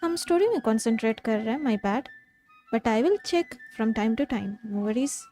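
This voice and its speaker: A woman's voice: a little high, animated, very fast, warm tone, soft background music. A woman with an Indian accent chatting casually as she live streams a game.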